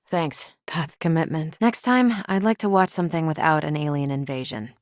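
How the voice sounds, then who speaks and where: A woman playing a confident, wry and brisk superhero soldier in a video game, with a casual, assertive way of talking. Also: deep voice, darkish tone, dry recording, natural voice. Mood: dry, casual. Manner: casual, dry teasing, assertive. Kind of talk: video game character dialogue.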